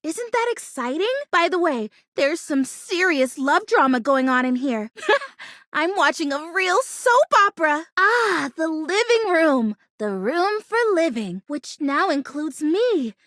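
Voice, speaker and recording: A woman's voice: high-pitched, big pitch swings, measured pace, a bit bright, no echo, clean studio sound, exaggerated voice. A woman voicing a bubbly, scatterbrained young plastic houseplant in a video game, chatty and gushing. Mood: excited, friendly. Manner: gushing, chatty, easily distracted, exclamatory. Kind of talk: animated video game character acting.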